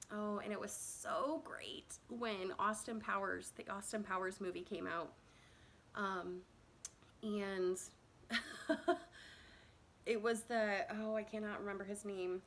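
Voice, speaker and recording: A woman's voice: medium pitch, fairly even intonation, slow pace, long pauses, a bit bright, slight background noise. A woman in her thirties from Indiana tells her own story to a phone camera in a casual, rambling way, with many fillers and self-conscious laughs. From Indiana, USA. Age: 30s.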